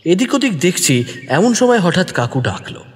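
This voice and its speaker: A man narrating a detective story in the first person, expressive and deliberate, also speaking the detective's lines. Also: high-pitched, big-room sound. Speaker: narrator who also voices the young detective.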